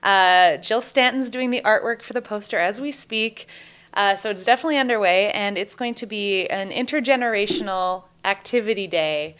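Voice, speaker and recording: Upbeat Canadian woman, smooth clear voice. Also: medium pitch, moderate pace, fairly bright tone. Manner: upbeat, talkative, laughs easily. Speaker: guest, taskforce co-lead. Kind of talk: casual podcast chat.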